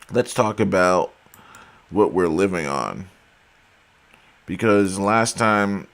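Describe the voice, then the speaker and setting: A man talking casually and emphatically to his viewers, saying he is losing his voice. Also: low-pitched. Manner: emphatic, argumentative, addresses viewers as guys. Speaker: video host.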